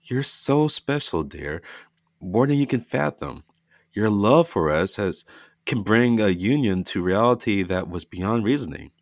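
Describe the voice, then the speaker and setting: A man voicing a gentle, devoted ghost husband who talks in a coaxing, reassuring way. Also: fairly low pitch, animated, unhurried, no echo, studio quality, pitched above the performer's own voice.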